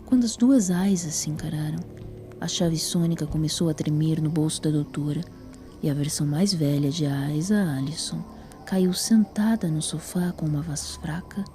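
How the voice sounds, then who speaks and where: Low-pitched Brazilian woman narrating, mellow. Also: normal speed, faint music behind. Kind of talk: scripted story narration.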